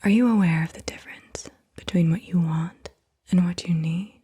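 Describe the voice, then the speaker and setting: A woman reading questions in a soft, breathy ASMR whisper, gentle and unhurried. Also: fairly low pitch. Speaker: ASMR host asking questions. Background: computer keyboard typing.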